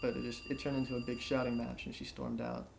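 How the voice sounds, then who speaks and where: A man playing a troubled son in an audio drama, giving testimony in a halting, confiding way. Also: medium pitch, sing-song, gravelly. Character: anguished son recalling his father's clash with his girlfriend. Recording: faint background noise.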